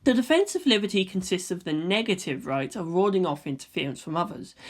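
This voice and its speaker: A woman voicing a calm, philosophical boy with firm conviction, speaking in measured, oratorical phrases for a fan fiction reading. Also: fairly low pitch. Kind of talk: fan fiction audiobook character voice.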